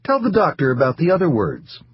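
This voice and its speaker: A complacent, pious housewife voiced by a man speaks in a higher, sing-song tone, sweetly condescending to her husband. The voice is husky and the recording dry and dull, like a lo-fi audiobook. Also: huge pitch swings, a little fast, exaggerated voice. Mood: placid, sugary. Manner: placid, sugary, turning bitter and self-pitying. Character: cheerful, smug, pious middle-aged wife.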